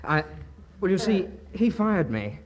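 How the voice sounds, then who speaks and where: An actor playing a courteous, earnest young English film extra in 1940s radio comedy style, a little hesitant. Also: male voice, sits high, big pitch swings, unhurried, exaggerated voice. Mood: polite, hesitant. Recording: noisy vintage 1940s radio recording.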